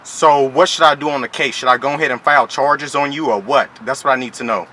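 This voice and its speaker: Man posing as a pushy, bright-voiced child support official. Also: mid-range voice, fast talker, natural voice. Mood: stern, pressing. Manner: pushy, mock-official, repeatedly polite "ma'am". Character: stern, threatening male child support official. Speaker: prank caller. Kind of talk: improvised prank phone call. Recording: phone call with faint noise.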